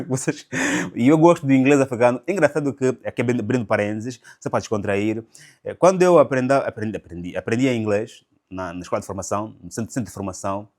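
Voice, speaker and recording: A man's voice: medium pitch, darkish tone. A man speaks in a mid-range voice, commenting for an online audience. His delivery is didactic. He poses questions to the listener and then answers them himself. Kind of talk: explanatory video commentary.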